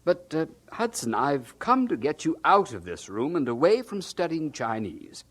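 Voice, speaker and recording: An actor playing a practical, down-to-earth missionary friend in an audio drama, plain-spoken with small hesitations. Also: male voice, a little high, big pitch swings, moderate pace. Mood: teasing, friendly.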